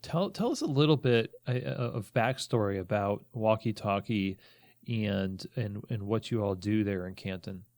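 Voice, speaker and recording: A man speaking as a podcast host in a conversational interview, with a measured, halting, thoughtful delivery. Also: lowish voice, a little mellow, no room sound, studio quality.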